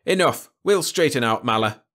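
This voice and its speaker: A man's voice: mid-range voice, expressive, moderate pace, a bit bright, pitched above the performer's own voice. A man voicing a brash, impatient, anxious male freighter pilot with a curt manner in an audiobook story. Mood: determined.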